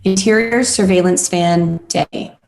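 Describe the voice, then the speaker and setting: A woman's voice: lowish voice, measured pace, creaky, a little mellow, rough recording. A woman reading screenplay stage directions as scripted narration at a table read.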